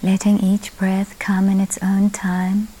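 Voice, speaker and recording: A woman guides a meditation at a very slow pace. Her voice is mellow and relaxed, with little rise and fall in pitch. She speaks in sparse phrases that trail into soft, breathy endings. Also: low-quality recording. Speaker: meditation teacher.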